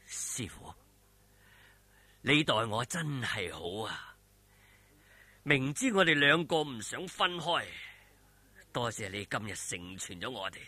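A man voicing a young swordsman hero in a storybook telling, low-key, sighing and ironic. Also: sing-song, slow pace, long pauses, pushed and bright, husky, no room sound, exaggerated voice. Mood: wry defiance. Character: defiant, wry young male swordsman hero. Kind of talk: Cantonese audio storytelling.

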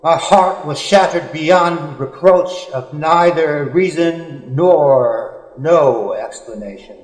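A man reading poetry in a solemn, dramatic style, with earnest, measured phrasing and drawn-out pauses. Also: fairly high pitch. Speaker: poet. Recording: echoey, long hall-like reverb.

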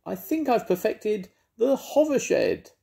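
An older man voicing an excited little inventor mouse with a squeaky, eager manner. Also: measured pace, gravelly, full character voice. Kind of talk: storybook read-aloud.

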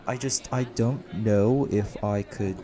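A man's voice: fairly low pitch, takes it slowly, crowd noise, background noise. A man playing a young student doctor with a secret life as a lawman, in an audio roleplay. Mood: friendly, curious. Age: young adult.

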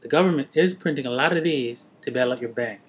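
A man in his thirties, born in Trinidad and raised in Canada, chatting casually to a webcam from a small home office, rambling with many filler pauses. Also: mid-range voice, husky. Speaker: vlogger. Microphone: webcam at desk.

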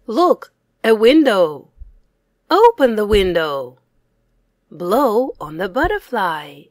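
A young woman teacher reading aloud a simple language lesson for children, saying words deliberately and separately, with exclaimed prompts. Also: mid-range voice, big pitch swings, no room sound, clean studio sound. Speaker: English teacher.

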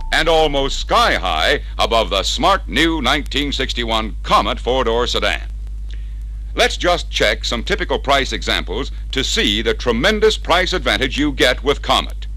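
Male narrator, American, 1960s dealer training film read. Lively intonation, bright tone, persuasive salesman punch, vintage record sound. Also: mid-range voice, rough recording. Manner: punchy, persuasive salesman delivery with rhetorical questions.

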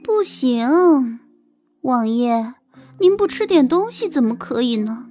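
An actress playing a coquettish, scheming young concubine in an audio drama, with a simpering, wheedling manner. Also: female voice, sits high, lively intonation, slow, mellow, full character voice. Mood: wheedling, hurt. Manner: simpering, affected, sweetly wheedling. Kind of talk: Chinese audio drama acting. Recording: music bed behind the voice.

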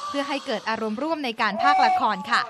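A woman's voice: sits high, quick pace, very noisy. A woman narrating a television news feature from a script in a polite, polished presenter manner.

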